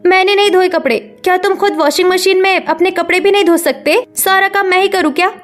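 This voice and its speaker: Woman acting a high-voiced, snappy modern young wife. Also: steady tone, a little fast. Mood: defiant, irritated. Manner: snappy, dismissive.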